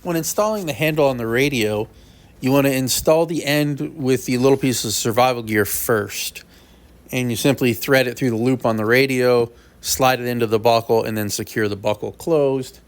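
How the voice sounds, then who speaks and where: A man's voice: medium pitch, normal speed. A man with a mid-range voice presents a product review. He explains each feature and step in a plain, practical manner, as in an instructional unboxing video.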